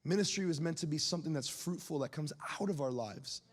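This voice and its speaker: A young man in his late twenties preaches in a loose, candid way, laughing and turning to the crowd with questions. His voice sits high, and a long reverb trails his words around a large hall. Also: fast. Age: 20s. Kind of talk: sermon.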